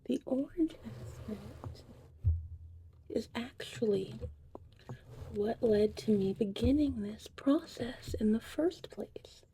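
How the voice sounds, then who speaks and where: Female ASMR host, very mellow and airy, hushed casual chat slipping into whispers, slightly high pitch. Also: takes it slowly, a little hiss or hum, low-quality recording. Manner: hushed, breathy, drifting in and out of whispers. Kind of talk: soft-spoken, whispered ASMR tutorial roleplay.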